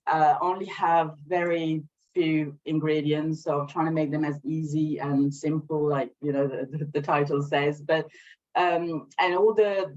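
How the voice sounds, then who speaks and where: A woman's voice: low-pitched, darkish tone. Woman in conversation, dull lo-fi audio. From Sri Lanka, raised in France from age eight. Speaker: guest, small business owner. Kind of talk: conversational interview, telling own story and showing products.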